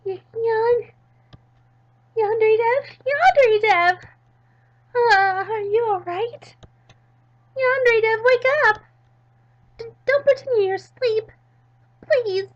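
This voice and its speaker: A high-pitched, anxious teenage anime girl, played by a woman reading a visual novel. She stammers and pleads in an earnest, kind-hearted way. The recording is slightly dull and lo-fi. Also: slow pace, pauses often. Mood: frantic pleading.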